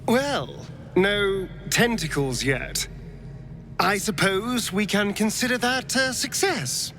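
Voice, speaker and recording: A suave video game schemer who relishes dark powers. His silky, arch voice swoops widely in pitch over loud background music. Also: animated, takes it slowly, heavily acted. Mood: wry. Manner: theatrical, silky, arch delivery. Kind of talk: video game character acting.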